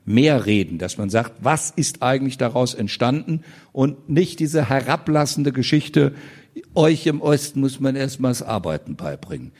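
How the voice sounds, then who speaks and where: A man's voice: medium pitch, expressive, normal speed, a little mellow. A man in his sixties, a guest at a public panel talk, speaking passionately and emphatically, with indignant outbursts. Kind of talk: animated stage conversation. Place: public event in front of an audience.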